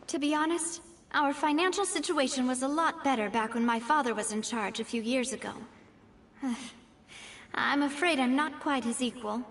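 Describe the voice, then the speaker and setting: A young female leader in a video game, gracious, careful and modest. Her voice is high and composed, with genteel phrasing. There is faint noise in the background. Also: unhurried, a bit bright. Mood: regretful. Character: poised young lady boss, cautious, gracious, modest.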